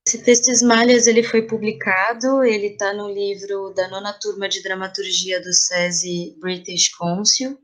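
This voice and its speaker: A woman's voice: medium pitch, little pitch movement, moderate pace, hardly any pauses. Brazilian woman in casual podcast conversation. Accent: Brazilian Portuguese. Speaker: interview guest, playwright.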